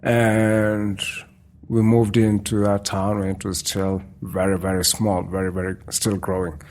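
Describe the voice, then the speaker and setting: Guy talking slow and thoughtful about his photos, really deep voice. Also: level tone, a little mellow. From Kenya. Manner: thoughtful, unhurried, repeats phrases. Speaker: guest photographer. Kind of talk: casual interview talk. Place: indoors at a culture centre.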